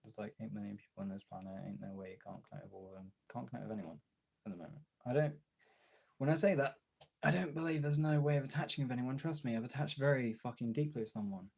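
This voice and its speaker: Male streamer, gravelly and raspy, confessional off-the-cuff delivery with frequent pauses and casual swearing. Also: mid-range voice, darkish tone. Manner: halting, candid, swearing. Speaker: live streamer and voice actor. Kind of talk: casual confessional livestream chat. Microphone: phone.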